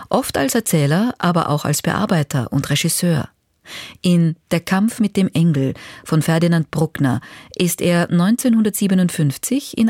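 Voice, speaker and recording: A woman presenting a radio magazine with measured, informative scripted narration. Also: lowish voice, darkish tone, dry recording, clean studio sound.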